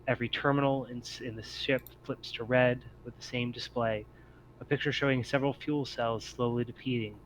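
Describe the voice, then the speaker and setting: A man reading scripted, descriptive scene-setting narration as game master of a tabletop game, in a measured storytelling way. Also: medium pitch, fairly even intonation, low-quality recording. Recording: noticeable background noise.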